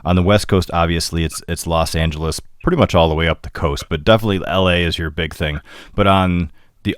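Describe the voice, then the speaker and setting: A male host with a deep voice, leading a casual interview in a relaxed, conversational way. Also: no echo, clean studio sound.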